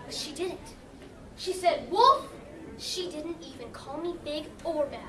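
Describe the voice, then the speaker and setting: A very high, theatrical storybook wolf, earnest and apologetic played by a woman. The stage comedy voice is heard far from the mic in an echoey hall with an audience. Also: expressive, a little slow, a bit bright. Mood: earnest, amazed. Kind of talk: youth stage comedy acting.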